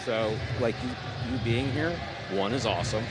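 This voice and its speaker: A man commentating a match, friendly and quick to laugh, calling plays in short, brisk bursts. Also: medium pitch, noisy background. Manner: friendly, laughing easily, brisk play calls. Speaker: play-by-play commentator. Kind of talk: sports commentary and banter.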